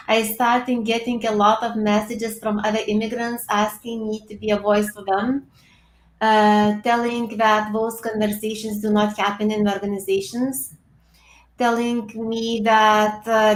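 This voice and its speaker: Lithuanian-accented woman, flat and earnest. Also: mid-range voice. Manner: earnest, with frequent pauses and fillers. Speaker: guest. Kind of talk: video call interview. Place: home room with bookshelves. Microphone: video call. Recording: echoey room over a video call.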